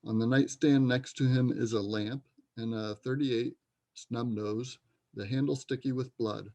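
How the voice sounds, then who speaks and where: Creaky-voiced man narrating stage directions. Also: medium pitch, fairly even intonation, a little slow, mellow. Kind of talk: scripted narration in a readers' theater play.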